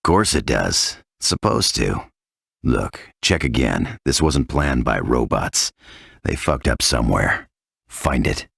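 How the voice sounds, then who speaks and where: An actor playing a cynical, hard-bitten undercover tough guy in a video game, gravelly and husky, with a blunt, sardonic delivery. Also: rumbling low, expressive, takes it slowly, fairly bright tone, dry recording, studio quality, exaggerated voice. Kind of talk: video game cutscene acting.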